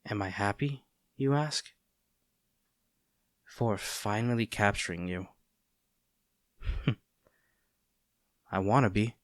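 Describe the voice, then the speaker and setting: A man playing a conflicted heroic captor in a dramatic audio roleplay, earnest and halting, tender toward an old friend turned enemy. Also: fairly low pitch, dry recording, clean studio sound. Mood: wry, conflicted. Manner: earnest, halting, formal wording with dramatic pauses.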